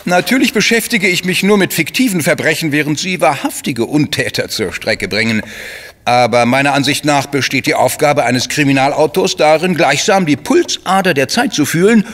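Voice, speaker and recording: A man's voice: on the high side, animated, normal speed, a bit bright. A man playing a suave, self-important crime writer in a German radio drama, eloquent and effusively polite. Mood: effusive, grandiose. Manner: pompous, florid, eloquent.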